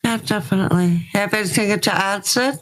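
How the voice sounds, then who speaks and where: A woman's voice: mid-range voice, a little fast, low-quality recording. A woman hosting a music show, asking her guests questions in a conversational way. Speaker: show host, interviewer. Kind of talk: conversational interview.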